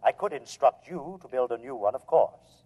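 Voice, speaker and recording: An actor playing an emotionless, menacing alien machine in an old-time radio drama, speaking formally and without hurry. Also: male voice, mid-range voice, animated, moderate pace, dark tone, breathy edge. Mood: cold, calm. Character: cold, polite alien humanoid machine, calmly menacing. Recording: muffled vintage radio recording.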